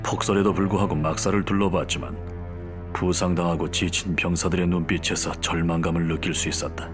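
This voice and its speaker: A man's voice: low voice, fairly even intonation, music in the background, close to an everyday voice. A man playing a weary, grave French soldier narrating his war diary in a video game cutscene. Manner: grave, measured storytelling. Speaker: campaign narrator.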